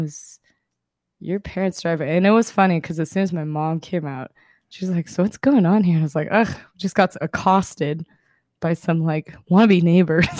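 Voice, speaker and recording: A young woman co-hosting a podcast, chatting casually and acting out the people in her story, with a slightly raspy voice. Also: lowish voice, no room sound, clean studio sound. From Southern California. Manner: chatty, animated retelling with quoted voices and laughs. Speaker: podcast co-host.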